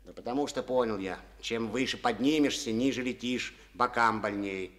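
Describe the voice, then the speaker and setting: A man's voice: mid-range voice, normal speed, fairly bright tone, lightly acted. An actor playing a resentful, stubborn collective-farm chairman in a studio radio play, speaking in a folksy way full of proverbs. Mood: bitter.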